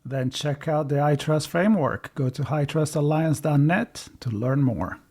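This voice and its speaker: A man with a slightly high voice reads a sponsor message for a podcast. His delivery is scripted yet conversational. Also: measured pace. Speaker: podcast co-presenter reading a sponsor spot.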